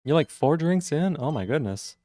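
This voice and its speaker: Mellow-voiced streamer chatting with viewers. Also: male voice, expressive, moderate pace, no echo. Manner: friendly, greets chat members by name, jumps up in pitch on greetings. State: rough voice. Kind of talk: casual chat with viewers.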